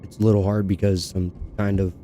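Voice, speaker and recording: A man's voice: lowish voice, little pitch movement, fairly quick, mellow, soft background music. A man streaming a video game in casual live chat, with his voice giving out as he talks. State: losing his voice.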